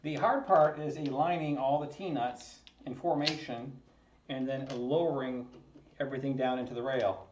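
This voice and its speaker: A man's voice: medium pitch, steady tone, normal speed, a little mellow, slight background noise. A man hosting his maker channel from a home basement workshop, chatting casually and joking at his own expense as he assembles a kit. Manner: chatty and self-joking, chuckles often. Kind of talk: casual talk while assembling a 3D printer kit. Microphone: camera on a tripod across the table. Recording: roomy, distant and slightly dull.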